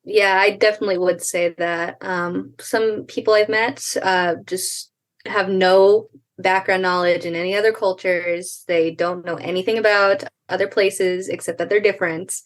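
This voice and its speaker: A woman's voice: mid-range voice, fairly even intonation. Teen girl guest, casual interview, thoughtful and a bit hesitant, mid-range voice. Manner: thoughtful, measured, hesitant with ums. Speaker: podcast guest.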